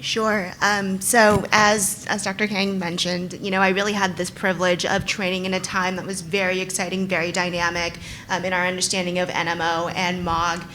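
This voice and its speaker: A woman's voice: lowish voice, level tone, normal speed, hardly any pauses, pushed and bright, a little hiss or hum, rough recording. A woman neurologist explaining things to patients at a live panel, thoughtful and hesitant, with many ums. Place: event venue in front of an audience.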